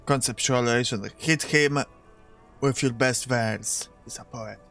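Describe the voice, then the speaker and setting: Creaky-voiced male game streamer. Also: medium pitch, a little slow, fairly bright tone, soft background music. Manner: casual, reads lines aloud, chats to viewers. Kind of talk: live game stream, reading dialogue aloud.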